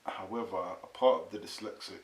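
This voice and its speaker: A man's voice: low-pitched, a little fast. A British man hosting an interview in a casual, reflective, informal way. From England. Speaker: host, interviewer. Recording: roomy echo, faint hiss.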